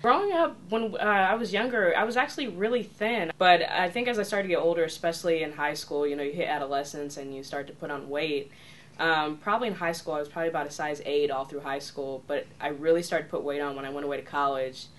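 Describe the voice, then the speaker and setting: A woman's voice: sits low, fast talker, a little hiss or hum. A woman in her twenties introducing herself for a casting tape, chatty and earnest, peppering her talk with "you know" and "like". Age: 20s. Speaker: casting applicant.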